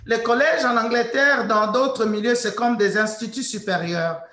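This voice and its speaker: A woman hosting an interview, introducing her guest in a slow, hesitant way and slipping into English now and then. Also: fairly high pitch, a bit bright, roomy sound. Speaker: interview host.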